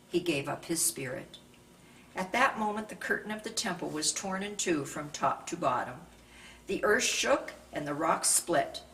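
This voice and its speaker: A woman with a slightly low-pitched voice reads a Bible narrative aloud. Her delivery is scripted and measured, in the manner of a scripture reader. She sounds somewhat distant from the microphone, with faint noise behind her. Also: a bit bright. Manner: measured, raises voice for quoted speech.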